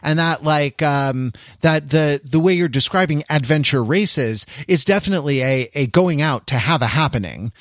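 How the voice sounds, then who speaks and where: A man in his forties co-hosting a podcast in casual analytical discussion, speaking in a halting, discursive way and often interrupting himself. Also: a little high, a bit bright, dry recording, studio quality. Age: 40s. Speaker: podcast co-host.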